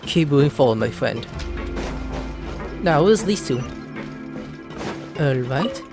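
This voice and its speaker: A man's voice: medium pitch, sing-song, slow pace. A man hosting a gaming video with casual, slangy, exclamatory commentary, stumbling a little when he reads aloud. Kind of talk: casual gameplay commentary with read-outs. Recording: faint game music behind the voice.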